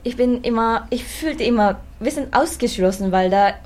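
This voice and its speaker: A woman's voice: on the high side, normal speed, a little mellow, slight background noise. A young woman from Japan with a Japanese accent, an exchange student answering interview questions with hesitant fillers. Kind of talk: interview answers in learner German.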